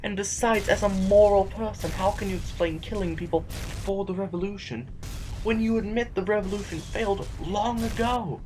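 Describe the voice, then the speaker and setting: A man's voice: sits high, moderate pace, darkish tone, natural voice, rough recording. Man playing an anxious, reluctant intellectual rebel. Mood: pleading, argumentative. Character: reluctant male intellectual rebel, anxious, self-preserving, argumentative. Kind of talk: audio drama acting.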